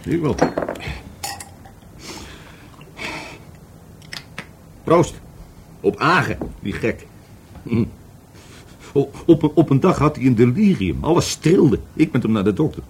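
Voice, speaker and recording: A man's voice: mid-range voice, very animated, normal speed, darkish tone, slight background noise. An actor in a Dutch radio play playing a drunken, bitter divorced man who rambles, stammers and repeats himself. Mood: rambling, animated. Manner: stammering, repetitive, tipsy.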